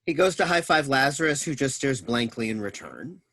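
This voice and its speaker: Male narrator, medium pitch, dry room-free sound, reading stage directions. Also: expressive, moderate pace, a bit bright, no room sound. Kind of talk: scripted narration.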